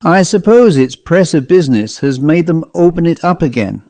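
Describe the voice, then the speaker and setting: A man's voice: a little high, animated, normal speed, a little mellow, no room sound, pitched above the performer's own voice. A man voicing an ominous, curt veteran salesman. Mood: grave, mysterious. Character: bespectacled old-hand salesman, curt, ominous, superstitious.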